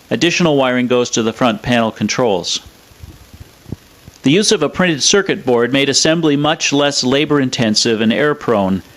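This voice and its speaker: A man presenting a scripted technical explainer in a measured, matter-of-fact way. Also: mid-range voice, a bit bright. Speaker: presenter of an electronics review.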